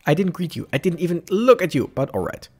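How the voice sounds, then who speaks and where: Lively man commentating a game. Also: fairly high pitch, fast, slightly rough. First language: German. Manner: chatty, animated, self-correcting. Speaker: let's play streamer. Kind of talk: casual gameplay commentary.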